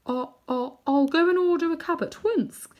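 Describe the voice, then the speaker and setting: A woman reading aloud, voicing a nervous, fawning old gentleman magician who stammers and gasps. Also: very high-pitched, normal speed, dull audio, voice pushed up. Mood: flustered fawning. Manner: stammering, gasping, obsequious.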